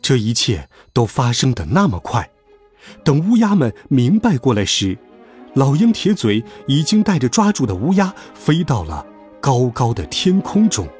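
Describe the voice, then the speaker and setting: Animated male storyteller over music. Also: medium pitch, moderate pace. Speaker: children's story narrator. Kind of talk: expressive scripted storytelling. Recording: music behind the voice.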